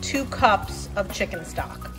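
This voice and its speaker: A woman's voice: mid-range voice, lively intonation, slow. A middle-aged woman explaining a recipe step by step in a casual cooking demonstration. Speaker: cooking show host. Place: home kitchen, indoors. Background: music, frying and sizzling sounds.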